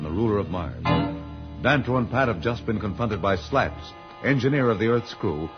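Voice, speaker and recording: An American man announcing and narrating an old-time radio serial in a dramatic, declamatory style. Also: lowish voice. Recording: muffled vintage radio recording. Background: loud music.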